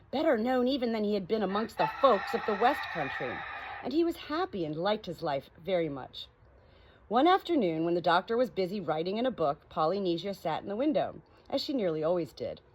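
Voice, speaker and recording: A woman reading aloud as an audiobook narrator in an expressive storybook style. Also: medium pitch. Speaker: audiobook reader. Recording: clearly noisy home recording.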